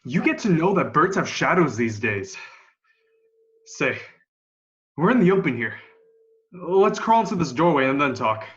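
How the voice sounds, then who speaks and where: Young man acting a high-pitched, grim militia survivor. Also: big pitch swings, measured pace, pauses often, fairly bright tone. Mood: wary. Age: young adult. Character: grim, defiant militia survivor of an alien invasion. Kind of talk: amateur radio play acting. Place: room at home. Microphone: video call. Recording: dull, lo-fi video call.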